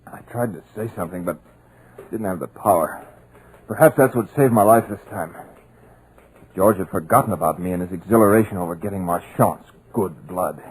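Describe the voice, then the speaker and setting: A man's voice: deep voice, moderate pace, slightly rough, rough recording. An actor playing a terrified, paralyzed male writer narrating in a fearful voice, in 1940s radio acting. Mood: dread. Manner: frantic then hushed, fearful narration. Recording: old radio recording with music.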